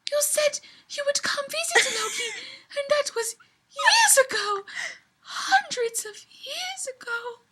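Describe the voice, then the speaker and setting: Female reader as melodramatic elf woman, gentle and quavery, high and bright, fan fiction storytelling. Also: sing-song, takes it slowly, full character voice. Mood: quivering, plaintive. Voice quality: quavering, breathy at times.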